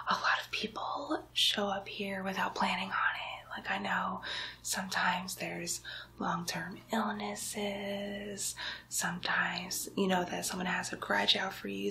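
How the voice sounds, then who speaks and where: A woman's voice: medium pitch, vocal fry, bright, forceful tone. A woman playing a chatty, cheeky demon nurse in a whispered ASMR roleplay, coaxing and playfully condescending. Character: chatty, cheeky female demon nurse greeting souls in hell. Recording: faint background noise, close whispered recording.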